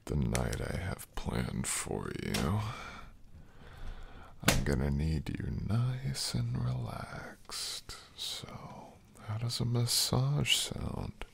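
Very deep, gravelly, breathy boyfriend played by a man. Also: expressive, fairly bright tone, in a whisper, full character voice. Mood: soothing, sensual. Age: young adult. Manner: breathy, murmured, slow and soothing. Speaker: audio roleplay voice actor. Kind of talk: intimate boyfriend ASMR roleplay.

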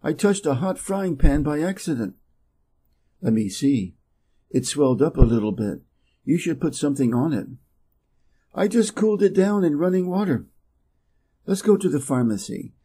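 A man from Wisconsin with an American Midwest accent, an English teacher reading practice dialogues slowly and playing both parts. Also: animated, dark tone, husky. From Wisconsin, USA. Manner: reads both dialogue parts, raising his pitch for speaker A.

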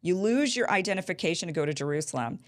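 A woman in her thirties hosting a political interview show, telling stories with animated gestures in a small studio. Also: on the low side, fast talker. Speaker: host. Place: small studio with a bright backdrop. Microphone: studio mic on a boom.

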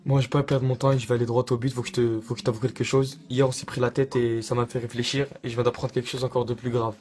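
Fast-talking slangy young man. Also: mid-range voice, flat delivery, a little mellow. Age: young adult. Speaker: online prankster. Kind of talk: casual prank call vlog. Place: small indoor room. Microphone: phone at arm's length.

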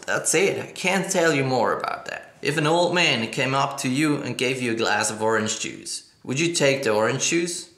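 Upbeat young man, bright echoey voice. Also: medium pitch, expressive, moderate pace, few pauses. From Switzerland. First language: German. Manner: upbeat, chatty, reads questions aloud. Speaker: skateboarding vlogger. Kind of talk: casual Q&A vlog. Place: living room, on a sofa, indoors. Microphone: fixed camera across the room. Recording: echoey room.

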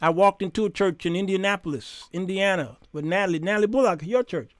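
A man's voice: sits high, fast, no echo, studio quality. An American man in his fifties hosting an informal, opinionated monologue, speaking emphatically in a preacherly way with rhetorical questions. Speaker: talk show host. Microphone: close condenser microphone on boom arm.

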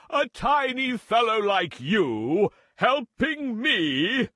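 A man voicing a proud, mighty, boastful lion king in a children's fable, with a theatrical, drawn-out delivery. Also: high-pitched, big pitch swings, sharp tone, heavily acted. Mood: amused, scornful.

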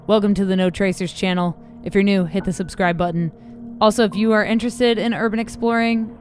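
A woman with a somewhat low voice reads a scripted documentary countdown. Her delivery stays even and measured from item to item. Clear music plays underneath her the whole time. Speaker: channel host. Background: music bed.

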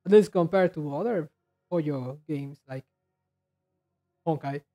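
A man giving casual commentary as a reaction video host, rambling haltingly and often repeating and restarting his words. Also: on the high side, warm tone, soft background music. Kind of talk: casual commentary on game trailers.

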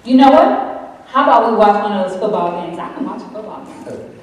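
A woman's voice: medium pitch, animated, brisk, a little mellow, slight background noise, lightly acted. A woman acting an affectionate, exasperated young preacher's wife in a stage play. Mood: coaxing, affectionate. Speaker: stage actor. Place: stage in a large auditorium. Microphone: distant camera. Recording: echoey theater hall from far off.

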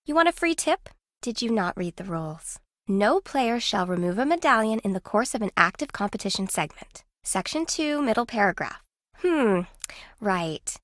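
A shrewd, competitive young woman in a video game. Her delivery is lively and teasing, with dry self-assurance, in a very dry, close recording. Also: mid-range voice, moderate pace, no room sound. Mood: smug, teasing. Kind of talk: video game dialogue acting.

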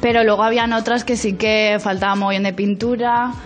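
A woman's voice: medium pitch, flat delivery, brisk, soft background music. A woman art restorer explaining her work informally in an interview.

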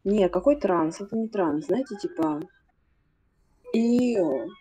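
A woman's voice: medium pitch, lively intonation, takes it slowly, warm tone, slightly rough. A young woman on casual gaming voice chat, chatting away and acting out her own inner voices as she talks. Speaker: raid player on voice chat.

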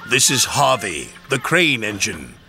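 A man's voice: fairly low pitch, wildly swooping pitch, a little slow, vocal fry, music in the background, full character voice. A man voicing a proud, kindly railway boss with formal authority in a children's story.

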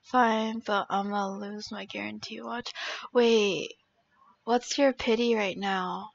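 A woman's voice: mid-range voice, steady tone, slow, creaky, a little hiss or hum. A young woman live-streaming a game in casual, reactive chat, swearing casually, on slightly dull lo-fi audio.

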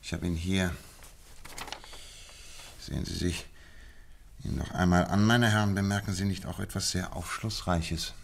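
A methodical detective in a radio play, with a low voice. He lectures precisely and with complete self-assurance, laying out his reasoning step by step. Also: measured pace, gravelly, pauses often. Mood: lecturing. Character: confident, methodical detective explaining his deductions. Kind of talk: German radio play acting.

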